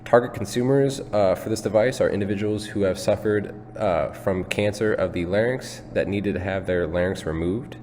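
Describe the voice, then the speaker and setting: Low-voiced young man in a hollow room. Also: normal speed, few pauses, a little mellow. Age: young adult. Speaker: student project presenter. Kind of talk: prepared presentation and product demonstration. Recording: hollow hall reverb, background hum.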